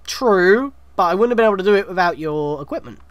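A woman's voice: fairly low pitch, animated, quick pace. A woman hosting a gameplay video with casual, conversational commentary. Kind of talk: casual let's play commentary. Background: game music.

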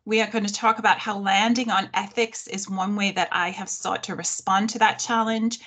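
A middle-aged woman from the North of England presents a workshop over a video call, her accent softened after decades in America. She speaks clearly and at a measured pace, friendly with touches of humor, into a webcam in her living room. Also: medium pitch, steady tone. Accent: mild Northern English, softened by thirty years in the US. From Sheffield, North of England. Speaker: history professor presenting a workshop. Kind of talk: online presentation.

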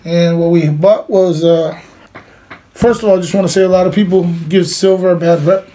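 A man's voice: high voice, steady tone, moderate pace, warm tone. A middle-aged man talking casually and plainly to camera as he gives a hands-on demonstration indoors. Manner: informal, plain-spoken. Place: indoors, small room with cloth backdrop. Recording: clearly noisy home recording.

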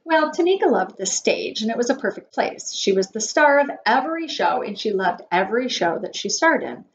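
A woman in her forties reading a picture book aloud to preschoolers as their teacher, expressively and with measured emphasis on key words. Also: fairly high pitch, very animated. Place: small wood-panelled room indoors. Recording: dull webcam audio with faint noise.

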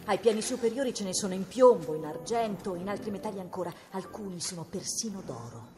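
An actress voicing a wounded, defiant medieval noblewoman in a radio drama, sharp-tongued and worn from her injuries. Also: mid-range voice, expressive, fairly quick, close to an everyday voice. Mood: urgent. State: feverish, aching from wounds.

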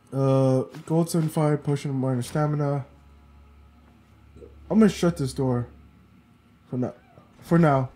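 A man's voice: on the high side, warm tone. Sniffly man muttering through a game. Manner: muttering to himself while playing. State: sniffly, congested. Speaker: let's play gamer. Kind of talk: casual gameplay commentary.

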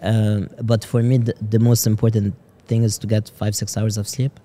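A man in his thirties from Lebanon, a startup CEO answering interview questions in a halting way, often repeating words, on a boom-arm studio mic in an office lounge. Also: flat delivery, mellow. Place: office lounge podcast set.

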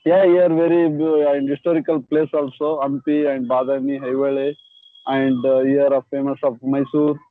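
A man in his thirties with a Kannada-speaking Indian accent, chatting on a video call in halting English and often saying "yes, madam". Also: mid-range voice, level tone, no echo. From Karnataka, India. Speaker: police head constable practicing English. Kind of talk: casual video call chat. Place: indoors by a door. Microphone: earphone mic on video call. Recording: dry, phone-like video call audio.

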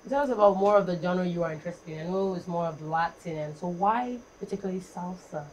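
A woman's voice: on the low side, fast, darkish tone, slight background noise, rough recording. A young woman hosts an interview on a studio set, asking questions in a playful, teasing way. She laughs often. Faint room ambience sits under her voice. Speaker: host. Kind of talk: interview host.

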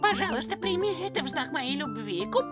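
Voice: very high voice, sing-song, fairly quick, loud music behind the voice. An actor voicing a silly, eager crocodile sidekick in a children's cartoon, tacking a verbal tic onto phrases. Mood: lovestruck.

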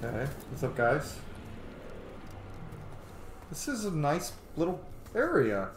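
A man's voice: on the high side, huge pitch swings, slow pace, a little hiss or hum. A man in his thirties giving casual, sweary gameplay commentary as he streams a video game. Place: indoor room. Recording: roomy echo, game music behind. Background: video game music.

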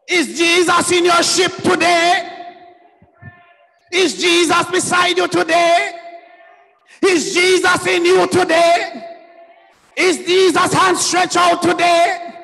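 A man preaches in a slow, shouted, half-sung cadence. His voice sits unusually high and carries a gravelly fry at its edges. It rings out in a long hall reverb over faint music. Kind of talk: fervent shouted sermon.

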